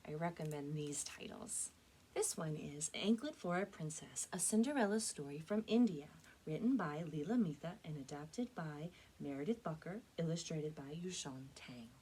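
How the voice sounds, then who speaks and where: A woman's voice: sits low, expressive, moderate pace, a little mellow, a little hiss or hum. A woman presenting a step-by-step craft demonstration for children as a librarian, speaking to her young viewers in a friendly, direct way.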